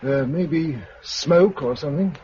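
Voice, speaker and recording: A man's voice: on the high side, unhurried, dark tone, close to an everyday voice. A man playing a resolute, practical, protective British man in a 1950s radio drama. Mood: practical, determined. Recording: dull, noisy vintage radio recording.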